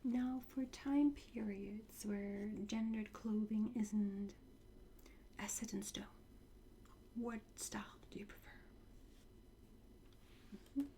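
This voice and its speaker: A woman whispering gently and reassuringly in a breathy voice, close to the microphone, playing a calm, courteous trainer in an ASMR roleplay. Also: medium pitch. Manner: slow, gentle, reassuring, with soft pauses and hums. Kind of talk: soft whispered ASMR roleplay, addressing the listener. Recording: close whisper with clear background noise.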